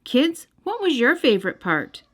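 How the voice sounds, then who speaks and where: A woman's voice: high voice, very animated, moderate pace, a bit bright. A woman hosting and narrating a children's read-aloud, speaking expressively and directly to young listeners. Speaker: host and storybook reader. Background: music.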